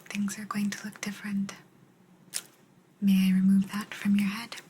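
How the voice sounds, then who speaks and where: Woman playing a kind aloof alien lady whispering real slow for asmr, breathy n gentle, some hiss in the recording. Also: medium pitch, close to an everyday voice. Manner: slow, halting, gentle, calmly detached. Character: kind but aloof female alien abductor examining a human. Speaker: ASMR roleplayer.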